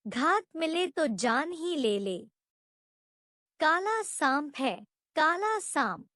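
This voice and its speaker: A woman storyteller voicing a stern, suspicious village stepmother with a sharp, questioning manner. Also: high voice, sing-song, very slow, no room sound, studio quality, heavily acted. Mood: bitter, suspicious.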